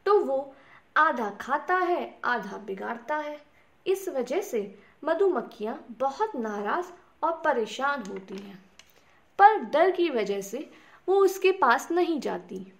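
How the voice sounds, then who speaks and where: A woman narrating a scripted bedtime story for children, speaking to them directly in simple words. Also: sits high. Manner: addresses small children directly with simple questions and repeated words.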